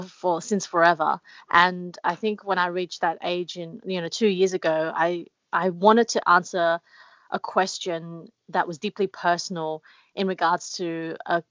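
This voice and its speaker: A woman's voice: fairly low pitch, a bit bright, dry recording, highs cut off. A woman guest being interviewed, talking reflectively with many fillers and restarts. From Australia. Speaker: author guest. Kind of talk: casual interview talk.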